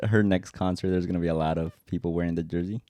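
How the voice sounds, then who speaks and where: Young male podcast co-host with a Spanish accent, very low mellow voice, relaxed and hesitant, dry mic. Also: fast talker, no echo. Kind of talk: casual chat.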